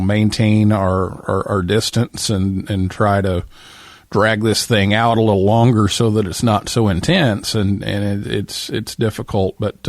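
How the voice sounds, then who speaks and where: A man's voice: mid-range voice, dry recording, studio quality. An American man hosting a casual live radio interview, talking conversationally in hesitant, piecemeal phrases. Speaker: radio show host.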